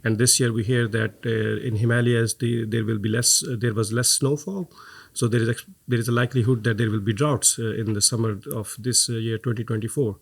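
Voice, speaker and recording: A man's voice: medium pitch, fairly even intonation, fairly quick, gravelly. Earnest man. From Pakistan. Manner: earnest, many "uh" hesitations and restarts. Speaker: guest, head of green fuels. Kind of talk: podcast interview.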